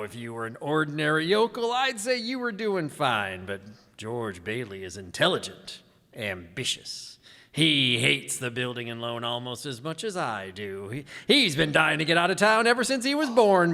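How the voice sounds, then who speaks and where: An actor playing a scheming, condescending old rich businessman in a radio play, gravelly and pompous, hemming as he goes. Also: mid-range voice, bright, forceful tone, exaggerated voice. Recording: echoey hall, stage reverb.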